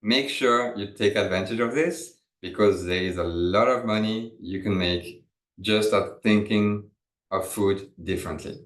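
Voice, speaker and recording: A man's voice: lowish voice, measured pace, fairly bright tone, reverberant. A man from France with a French accent, presenting a business webinar in a loose, conversational way with restarts.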